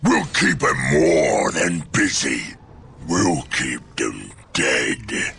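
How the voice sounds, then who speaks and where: A brutish ork warboss from a video game, growls in a very deep, gravelly voice. He is blunt, boastful and hungry for battle, swinging wildly in pitch. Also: wildly swooping pitch, very slow, pushed and bright, slight background noise, exaggerated voice. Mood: menacing boast. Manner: blunt, belligerent. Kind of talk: video game cutscene acting.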